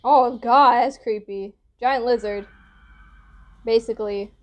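Higher-voiced young woman reacting casually. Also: steady tone, takes it slowly, lo-fi sound, soft background music. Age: young adult. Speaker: reaction video host.

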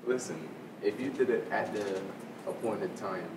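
A young man acting as a nosy older brother, probing and bossy, with a lowish voice and casual slang. He sounds distant in an echoey room with steady noise around. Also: measured pace, natural voice. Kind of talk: amateur short film acting. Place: school library. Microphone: far camera. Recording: echoey, from far off, noisy.

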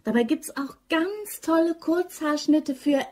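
A woman's voice: high-pitched, unhurried, a little mellow. An actress playing a breezy, self-assured female office worker in a radio crime drama, playful and teasing.

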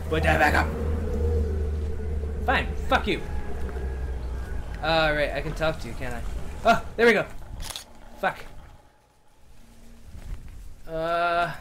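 Male let's-play host, high and animated, excitable and sarcastic, faint hiss behind. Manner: loud, excitable, sarcastic, swears freely. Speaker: game streamer.

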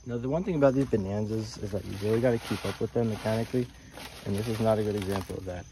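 A man casually vlogging as he explores old airplanes in a rural field, excited, swearing and talking to his viewers on a phone camera. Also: lowish voice, very fast. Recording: phone audio outdoors with insect noise. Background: insects and crickets outdoors.

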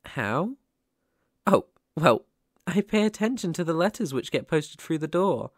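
A man plays a shy, lonely young British ghost in an ASMR roleplay. The delivery is gentle, apologetic and full of stammers, taken at a slow pace with pitch that moves freely. The sound is dry, with no room around it. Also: big pitch swings, no room sound, full character voice. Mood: shy, surprised.

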